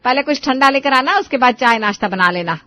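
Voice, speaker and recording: A woman's voice: high voice, animated, a little fast, sharp tone, no echo. A woman voicing a fussy, middle-aged mother-in-law in a cartoon family story. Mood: meek, dutiful. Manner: fussy, scolding. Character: nagging middle-aged mother-in-law, meek before her elders. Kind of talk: acted dialogue in a cartoon story.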